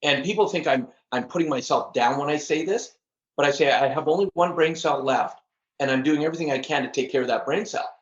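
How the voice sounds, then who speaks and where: A man's voice: fairly high pitch, a little fast, dull audio. A middle-aged man speaking earnestly as a podcast guest, often repeating words and laughing at himself. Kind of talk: casual interview chat.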